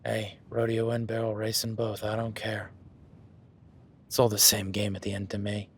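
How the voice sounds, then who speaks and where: A man's voice: lowish voice, completely flat delivery, moderate pace, a little hiss or hum, close to an everyday voice. A man playing an easygoing, seasoned cowboy rancher in an audio roleplay, talking to the listener in a folksy, plainspoken way.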